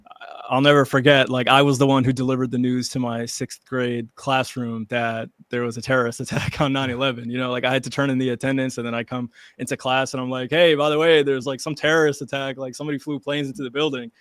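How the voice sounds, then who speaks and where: A man in his thirties, born in Iraq and raised in the United States, answers interview questions in a steady, even tone. His voice is dry and close, with no room sound, and he slips into friendly, chatty storytelling. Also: medium pitch, fast talker, no room sound. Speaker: guest. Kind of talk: conversational interview answers over video call.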